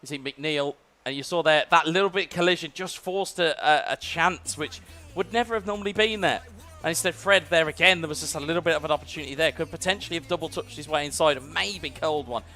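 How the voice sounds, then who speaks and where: British man, esports play-by-play, fluent analytical delivery, fairly high voice. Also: fast, few pauses, bright, forceful tone. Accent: British English. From United Kingdom. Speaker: esports commentator. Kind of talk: live esports play-by-play commentary.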